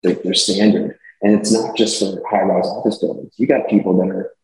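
A man who is a company executive, explaining his business in a relaxed, businesslike way as an interview guest. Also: sits low, a little fast, echoey room, rough recording. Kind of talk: casual interview answers.

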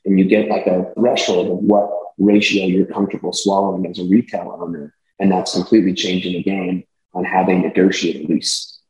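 A businesslike man with a deep, low voice, talking his way through explanations as an interview guest. His voice carries a roomy echo around it. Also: level tone, brisk, a little mellow. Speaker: company executive, guest. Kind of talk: casual interview answers.

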